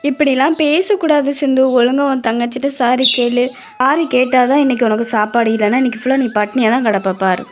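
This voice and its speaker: A woman acts as an exasperated cartoon mother with a highish voice. She talks emphatically, like a parent managing two squabbling daughters. Soft music plays behind her. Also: a little fast, close to an everyday voice. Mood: scolding. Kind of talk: dramatized animated moral story.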